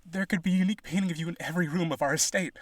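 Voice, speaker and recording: A man's voice: on the high side, very fast, no room sound, studio quality, natural voice. A man playing a rich, devoted vampire aristocrat in a roleplay audio, earnest and pleading, with gushing, hesitant speech. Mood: devoted, coaxing.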